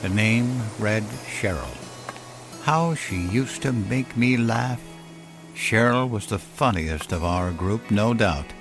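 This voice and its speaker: A man narrating a scripted first-person story in a measured, solemn, reflective way, as on a fiction podcast. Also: fairly low pitch. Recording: music behind the voice. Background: clear music bed.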